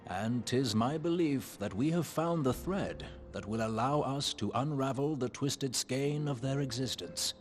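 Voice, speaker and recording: A man's voice: mid-range voice, unhurried, hardly any pauses, close to an everyday voice. An actor playing a solemn male scholar in a fantasy game, with grave, formal, archaic diction. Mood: grave, measured. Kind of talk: theatrical fantasy game dialogue. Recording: loud music under the voice.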